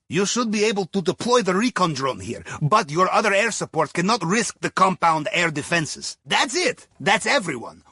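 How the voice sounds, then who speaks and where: A shrewd, jovial Russian-accented sub captain from a video game. His voice is fairly high and bright, with a husky rasp. He coaches a heist crew in droll, swooping phrases. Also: dry recording, studio quality, full character voice. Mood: focused, coaching. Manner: droll, conversational, dropping articles. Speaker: heist mission handler. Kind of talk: scripted video game dialogue.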